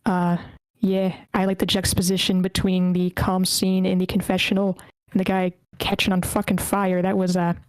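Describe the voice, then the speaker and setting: A woman's voice: lowish voice, completely flat delivery, moderate pace, hardly any pauses, darkish tone, smooth, no echo, low-quality recording. A woman hosting an online table read, giving informal, self-deprecating feedback and swearing casually. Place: online group meeting.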